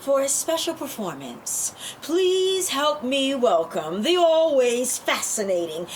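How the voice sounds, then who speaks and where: Woman playing very high-pitched, drawling bingo hostess. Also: sing-song, slight background noise, exaggerated voice. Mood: grand introduction. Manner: drawn-out announcer delivery. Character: showy drag bingo hostess, flirty and teasing. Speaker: actor at a script table read. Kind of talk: amateur script read-through.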